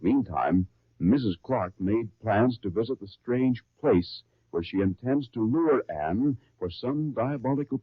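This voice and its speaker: A man reads a sponsor spot and a story recap in brisk 1930s announcer style. His voice is mid-pitched, expressive and slightly husky. The recording is thin and phone-like, from a 1939 broadcast. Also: dry recording. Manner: brisk, salesy, then storytelling. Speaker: radio announcer. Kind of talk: 1930s sponsor ad and program intro.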